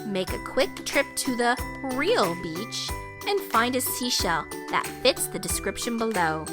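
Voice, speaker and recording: A woman reads a picture book aloud for young children. She takes her time, with a highly animated pitch that rises and dips for emphasis. Children's music plays clearly behind her voice. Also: a little slow. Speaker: children's story reader.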